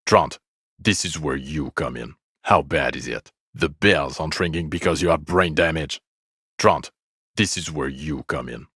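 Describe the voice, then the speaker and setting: A man's voice: rumbling low, unhurried, fairly bright tone, breathy edge, dry recording, full character voice. A man voicing a bitter, exasperated police detective in a video game, with dry, cutting sarcasm and weary contempt. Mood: sarcastic, impatient. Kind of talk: dramatic game dialogue.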